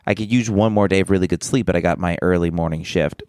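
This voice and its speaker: A man's voice: low voice, fast, a little mellow, clear, resonant tone, no echo, studio quality. A young man on a podcast, casually telling a rambling story full of restarts, with a slight case of the sniffles. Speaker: podcast co-host, comedian. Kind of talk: casual chat.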